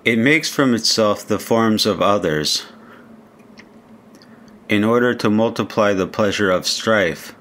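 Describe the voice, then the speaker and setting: A man reading translated poetry aloud like an audiobook, in a solemn, measured manner. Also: fairly low pitch, fairly bright tone. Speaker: reader.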